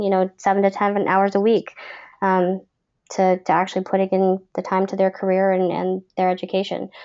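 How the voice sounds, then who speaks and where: A woman's voice: medium pitch, warm tone, dull audio. Chatty female voice coach on a call. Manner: friendly, chatty, many fillers. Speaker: voice acting coach. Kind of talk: live webinar Q&A. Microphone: webcam call.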